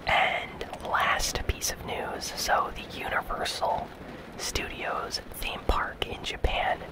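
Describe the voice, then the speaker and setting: A host whispering gaming news ASMR-style in a soft, breathy, unvoiced whisper, with a gentle, casual, unhurried delivery. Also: poor audio. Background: rain sounds.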